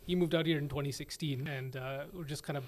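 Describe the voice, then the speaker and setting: Soft-spoken male doctor explaining. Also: fairly high pitch, steady tone. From Toronto, Canada. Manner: measured, explanatory, many fillers. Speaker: ICU physician, guest. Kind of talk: interview answers.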